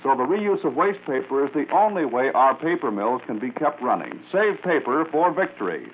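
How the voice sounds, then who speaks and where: A thin, faintly noisy 1940s radio recording of an American male announcer. He reads scripted advertising copy in a polished, formal manner at a medium male pitch. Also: very animated, normal speed, phone-quality sound.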